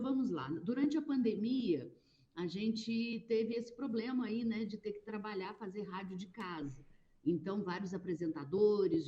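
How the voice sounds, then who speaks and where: A Brazilian woman from public radio explains things in an animated way, often pausing on fillers. Her voice is mellow and middle-pitched. The recording sounds dull and lo-fi. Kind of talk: conversational interview answers.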